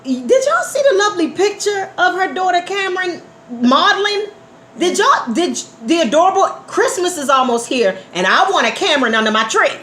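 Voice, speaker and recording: A woman's voice: very high voice, big pitch swings, normal speed, fairly bright tone. A woman hosting a radio show in casual, effusive chat, speaking familiarly to listeners and repeating phrases for emphasis. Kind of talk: casual live interview chat. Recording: echoey room with background noise.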